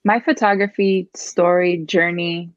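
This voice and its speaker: Casual woman in her 30s, low-ish voice, webcam audio, a bit muffled. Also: steady tone, a little slow, no echo. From Miami, Florida. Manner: chatty, laughs easily, many "like" and "um" fillers. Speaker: guest photographer. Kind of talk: casual video-call interview. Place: home room with a chair. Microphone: webcam on a video call. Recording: dull, slightly lo-fi video-call audio.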